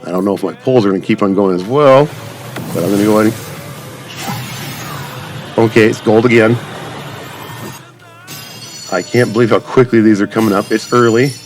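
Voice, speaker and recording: A man's voice: lowish voice, steady tone, moderate pace, pauses often. A man giving casual, rambling gameplay commentary as a gaming YouTuber, wordy and informal. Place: voice-over on game footage. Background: music.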